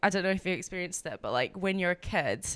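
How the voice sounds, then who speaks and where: A woman's voice: on the low side, animated, fast talker, fairly bright tone, clear, resonant tone, no room sound. A young woman with a Glaswegian Scottish accent, chatting playfully and joking as a podcast guest. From Glasgow, Scotland. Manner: playful, joking, laughs often. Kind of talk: casual podcast chat.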